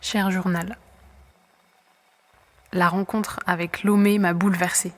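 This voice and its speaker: A woman's voice: lowish voice, level tone, slow pace. A woman acting as a discouraged young runner in a fiction podcast, speaking in a frank, colloquial and earnest way. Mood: reflective.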